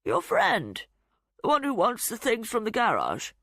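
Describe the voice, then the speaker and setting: Forgetful old man, defensive and snappy, lively pitch , as a woman narrator puts him on. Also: unhurried, gravelly, slightly rough, no room sound, studio quality, heavily acted. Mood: confused.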